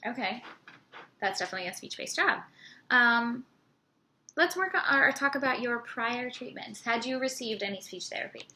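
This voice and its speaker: A young woman interviewing a patient, asking steady questions with some fillers. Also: on the high side, moderate pace. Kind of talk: clinical interview.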